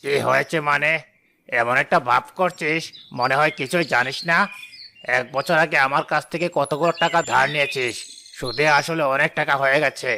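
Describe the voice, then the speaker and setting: A man's voice: on the high side, moderate pace, creaky, bright, forceful tone, a little hiss or hum. A man playing a bossy, miserly, rich older village chief in a cartoon, speaking in a commanding and condescending way. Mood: domineering. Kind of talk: Bengali cartoon voice acting.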